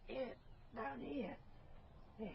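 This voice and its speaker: An actress playing an anxious, hesitant ordinary dog owner in a naturalistic radio drama, sounding flustered. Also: female voice, on the high side, steady tone, extremely slow, vocal fry, muffled recording, a little hiss or hum, full character voice.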